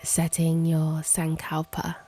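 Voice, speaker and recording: A woman guiding a meditation in a hushed, soft manner, with breathy phrase endings and long pauses. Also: low-pitched, completely flat delivery, slight background noise. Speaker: host and meditation guide. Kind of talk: guided meditation, slow and hushed.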